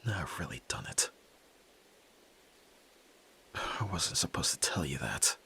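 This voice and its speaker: A man's voice: rumbling low, lively intonation, a little slow, gravelly, bright, forceful tone, slightly rough, in a whisper, heavily acted. A young man playing a brusque, tormented nerd who is a reluctant yakuza heir, in a dramatic audio roleplay, speaking huskily and curtly. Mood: resigned, subdued. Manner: brooding, curt, pushing the listener away.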